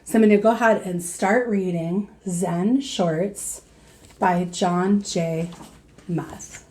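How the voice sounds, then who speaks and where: A woman teacher reading a storybook aloud to children in an expressive manner. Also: fairly low pitch, a little hiss or hum, rough recording. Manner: slow, expressive, addressing young listeners.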